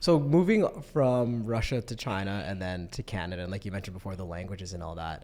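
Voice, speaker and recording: Host, male, lowish voice, curious, quick backchannel. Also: dark tone. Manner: friendly, curious questioning with short affirmations. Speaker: podcast host. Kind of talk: casual interview chat.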